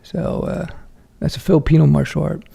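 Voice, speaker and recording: A man's voice: a little high, fairly even intonation, brisk, creaky, warm tone, breathy edge. A middle-aged man hosting a casual livestream Q&A, reading viewer questions aloud and answering frankly with lots of fillers and restarts. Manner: frank, chatty, many fillers and self-corrections.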